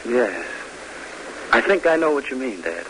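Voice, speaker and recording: An actor playing an eager, respectful young cadet son in a 1950s radio drama, speaking earnestly and deferentially. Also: male voice, mid-range voice, very animated, measured pace, fairly bright tone, low-quality recording. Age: young adult. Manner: deferential, hesitant openings, earnest. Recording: hissy vintage radio recording.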